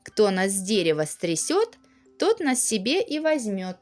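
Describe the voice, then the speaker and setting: A woman's voice: mid-range voice, sing-song, measured pace, a little mellow, soft background music. A woman voicing magic talking apples in a fairy tale, rhythmic, singsong and inviting. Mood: gently inviting.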